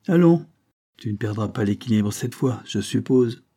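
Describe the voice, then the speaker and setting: A man's voice: medium pitch, expressive, normal speed, mellow, natural voice. A man voicing a brutal, bullying foster father in an audiobook story, scolding and giving brusque orders. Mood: gruff, dismissive.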